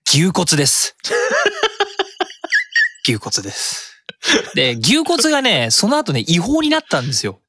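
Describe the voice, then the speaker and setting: Lively, animated man in his thirties. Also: high-pitched, a little slow, long pauses, slightly rough, no echo. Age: 30s. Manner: laughing, joking, animated. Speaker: podcast co-host, sound creator. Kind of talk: casual podcast chat.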